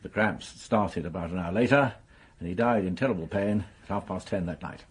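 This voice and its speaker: An actor playing a sober police major in a radio mystery, speaking in a measured, serious way with a slightly husky voice. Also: male voice, sits low. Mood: matter-of-fact. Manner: measured, serious, matter-of-fact. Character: sober, businesslike male police major briefing colleagues. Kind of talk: radio mystery drama dialogue.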